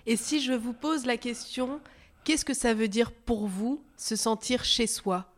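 Woman interviewer, asks slow and careful, higher voice. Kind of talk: interview questions.

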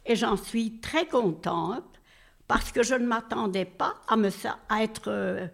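An older woman from Belgium talks on and on in a rambling, chatty way, full of little fillers. Her voice sits a bit high and has a creaky edge. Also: a little slow, fairly bright tone. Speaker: nursing home resident, interviewee. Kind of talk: casual interview answers.

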